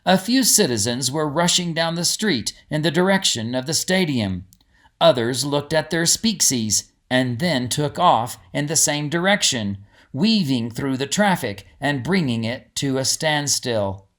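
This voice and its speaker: Expressive male storyteller with lively pitch. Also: measured pace. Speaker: audiobook narrator. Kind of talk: scripted storybook narration.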